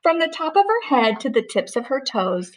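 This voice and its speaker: A middle-aged woman, a teacher, reading a rhyming storybook aloud in a gentle, sing-song way. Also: high-pitched, sing-song, normal speed, a little hiss or hum.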